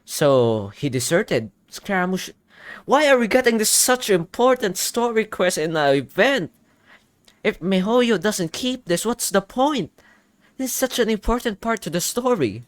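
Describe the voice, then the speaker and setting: A young man giving casual game reaction commentary, excitable and thinking aloud. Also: sits high, unhurried, dry recording. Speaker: gaming YouTuber. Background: game music.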